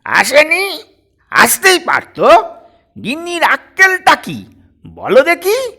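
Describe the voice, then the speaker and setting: A man voicing a fussy, excitable old father-in-law who blusters and grumbles in a comic audio story. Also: extremely high pitch, slow, pitched above the performer's own voice, exaggerated voice. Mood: indignant. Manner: loud, repetitive, indignant exclamations.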